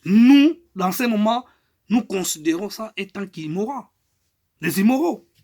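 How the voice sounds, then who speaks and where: A middle-aged man from Congo with a Congolese French accent, making an emphatic political statement, his loudness rising and falling. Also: high-pitched, lively intonation, measured pace. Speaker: political activist.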